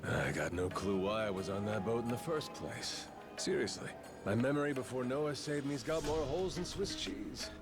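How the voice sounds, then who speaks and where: A man plays an easygoing gangster drifter in a video game. His voice is mid-pitched and very animated, with casual, folksy, wry delivery over loud music. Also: normal speed, close to an everyday voice. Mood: candid, breezy. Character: easygoing, rough-talking amnesiac male yakuza drifter. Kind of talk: English video game voice acting.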